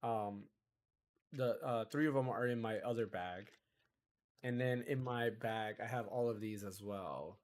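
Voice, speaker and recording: A man hosting a casual gaming podcast, chatty and rambling, a bit hoarse from a cold. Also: fairly low pitch, a little slow, darkish tone. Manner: chatty, rambling, self-interrupting. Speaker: podcast host.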